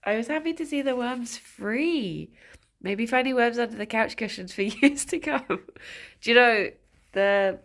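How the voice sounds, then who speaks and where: A woman's voice: fairly high pitch, expressive, unhurried, fairly bright tone. A woman with a stuffed-up nose, chatting casually with her live chat, greeting viewers by name and giggling often. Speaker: streamer.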